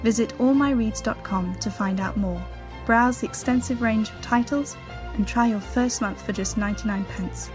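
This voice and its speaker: A woman's voice: a little high, moderate pace. A woman giving a scripted promotional voice-over. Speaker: advert voice-over. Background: music bed.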